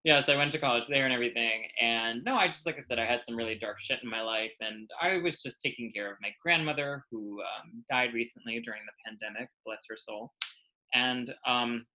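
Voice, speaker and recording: An American man from New York chatting casually as a podcast guest, telling personal anecdotes in an animated, self-mocking way with plenty of swearing. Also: mid-range voice, a little fast, poor audio. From New York City, United States.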